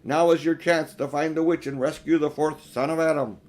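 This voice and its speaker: A man's voice: fairly high pitch, steady tone, fairly quick, darkish tone, pitched above the performer's own voice, close to an everyday voice. A man voicing a majestic lion king in a storybook, speaking in a stately, formal and commanding manner. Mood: urgent command.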